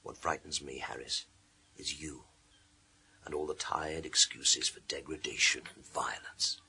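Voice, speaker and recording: A man's voice: a bass voice, steady tone, unhurried, creaky, bright, forceful tone, slightly rough, recorded from a distance, heavily acted. A man playing a world-weary, wry police inspector in a naturalistic radio thriller, speaking with dry understatement. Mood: grim, quiet. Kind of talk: naturalistic radio drama acting.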